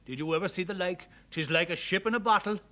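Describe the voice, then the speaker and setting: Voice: medium pitch, fast, a bit bright, natural voice. A narrator voicing a hearty, kindly Irish factory man with a folksy, emphatic lilt, in a storybook reading. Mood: alarmed.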